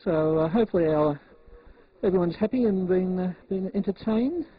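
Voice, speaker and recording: A man chatting casually and unscripted on air, halting and repeating words as he goes, heard on muffled transmission audio. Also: sits high, slightly rough. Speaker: amateur television operator.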